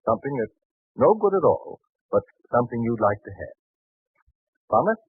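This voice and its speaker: A man's voice: medium pitch, sing-song, normal speed, husky, dry recording. An actor playing an anxious, doubting merchant and father in an old biblical radio drama, speaking earnestly. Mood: tender, fatherly. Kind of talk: 1940s radio drama acting. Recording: muffled, thin 1940s radio recording.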